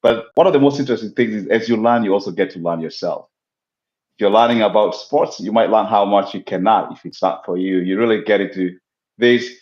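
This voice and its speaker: A man from Kenya, a guest explaining his ideas in a measured, reflective way over a remote call. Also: lowish voice, darkish tone. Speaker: guest, business owner. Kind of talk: casual interview talk. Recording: echoey, dull lo-fi audio.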